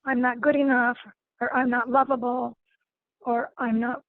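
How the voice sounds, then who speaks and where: Hoarse woman facilitator, high voice, gentle, halting, heard on a thin video call line. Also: level tone, no echo, narrow, tinny audio. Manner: gentle, repeats words while finding them. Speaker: guest facilitator. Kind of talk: informal lecture to an online group.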